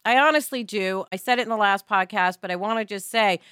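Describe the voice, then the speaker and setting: A woman's voice: mid-range voice, a little fast, fairly bright tone, clear, resonant tone, dry recording, studio quality. A middle-aged American woman co-hosting a podcast, talking casually with enthusiasm and emphasis and rambling through her opinions. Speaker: podcast co-host.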